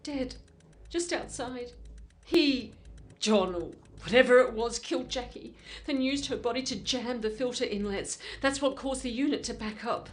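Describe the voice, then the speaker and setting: A woman's voice: a little high, normal speed, roomy sound, close to an everyday voice. A woman playing a hard-headed, grimly resigned survivor in an audio drama, speaking bluntly and tersely. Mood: grim, matter-of-fact.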